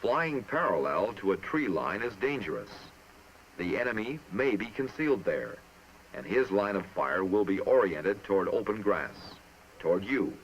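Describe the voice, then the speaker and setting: Muffled, low-voiced male training film narrator. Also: sing-song. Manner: formal, measured, instructive. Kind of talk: scripted military instructional narration. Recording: muffled, noisy old film soundtrack.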